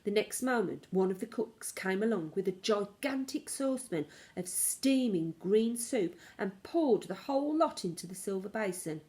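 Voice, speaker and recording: A woman's voice: medium pitch, expressive, normal speed, few pauses, mellow. Woman narrating a children's storybook, dramatic emphasis. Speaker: teacher reading aloud for home learning.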